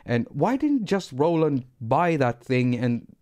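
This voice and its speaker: A man's voice: medium pitch, very animated, slow pace, darkish tone. A middle-aged man from Sweden with a Swedish accent, rambling and self-deprecating as he teaches synthesis on a live stream. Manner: rambling, self-deprecating, repeats words when restarting. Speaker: music producer teaching synthesis.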